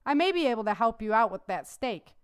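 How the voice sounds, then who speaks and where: A woman's voice: a little high, sing-song, brisk, clean tone. A woman voicing a wealthy male landowner, courteous and insistent, in a read-aloud story. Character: wealthy, sincere but formidable male landowner.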